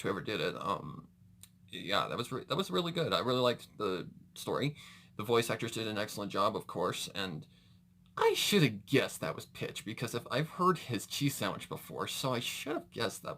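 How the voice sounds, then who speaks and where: A man's voice: lowish voice, big pitch swings, normal speed, a bit bright, slight background noise. A man giving casual reaction commentary as a video host, rambling and correcting himself as he goes, with mild slangy exclamations.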